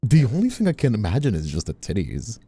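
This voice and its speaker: A man's voice: fairly low pitch, wildly swooping pitch, fast, warm tone, husky, no room sound. A man hosting a casual stream chat, venting in a rambling, exasperated way and often repeating himself. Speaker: streamer and host.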